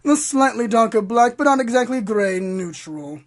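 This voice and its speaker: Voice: medium pitch, lively intonation, moderate pace, natural voice. An actor voicing a snobbish, refined fashion pony in a parody radio advert. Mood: haughty.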